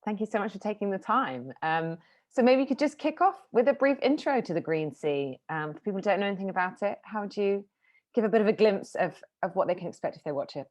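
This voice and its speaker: A woman's voice: medium pitch, sing-song, fast talker, a little mellow. A woman interviewing a filmmaker over a video call, asking long, winding questions with fillers.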